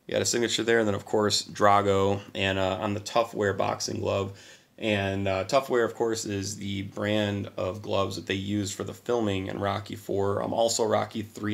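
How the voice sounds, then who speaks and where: A man with a hoarse voice hosting a casual collector vlog, talking keenly but a little quietly. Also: fairly low pitch. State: hoarse from singing and little sleep. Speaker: channel host.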